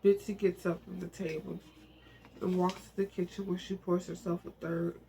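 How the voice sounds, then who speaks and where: A man reading a novel aloud in an informal, emotional, soft storytelling manner, now and then speaking in asides. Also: high-pitched, level tone, moderate pace, creaky, noisy background. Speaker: amateur book reader and channel host.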